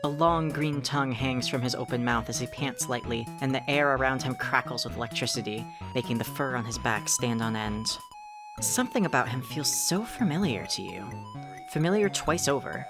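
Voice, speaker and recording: A very low-pitched narrator, acted by a woman tells a visual novel story in the second person. The delivery is wry and conversational, wandering into asides, over clearly audible music. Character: second-person narrator, wry, rambling, easily sidetracked visitor. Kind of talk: scripted narration of a fan-dubbed visual novel.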